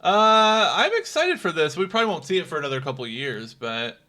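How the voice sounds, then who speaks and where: Man, podcast co-host, casual chat, bright voice, laughs a lot, stumbles over words. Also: on the high side, very animated, brisk. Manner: chatty, laughs often, trips over words.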